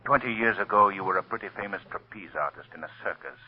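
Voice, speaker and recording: Mysterious radio avenger, ominous and taunting, husky, dull old 1930s recording. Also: male voice, on the low side, lively intonation, fast talker. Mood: ominous authority. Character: commanding, mocking, mysterious invisible crime-fighting avenger.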